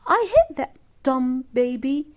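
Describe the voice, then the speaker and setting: A woman's voice: sits high, big pitch swings, slow pace, a little mellow, full character voice. A woman voicing a jealous, stubborn little girl, an emphatic big sister in a children's storybook. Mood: angry, sulky.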